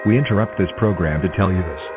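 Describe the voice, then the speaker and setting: A man reading scripted emergency weather alerts as a broadcast announcer, in a formal, impersonal and measured manner. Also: deep voice, loud music behind the voice, poor audio.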